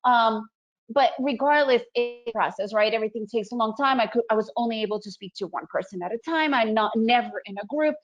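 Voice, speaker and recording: A woman's voice: fairly high pitch, a little fast, music in the background. A woman, presenting a trading webinar in a chatty, upbeat way and often checking in with "right?". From Soviet Union, raised in the US. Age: 40s. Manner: chatty, upbeat, frequent fillers and "right?" tags. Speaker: trading educator hosting a webinar. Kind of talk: casual presentation. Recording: dull lo-fi webinar audio.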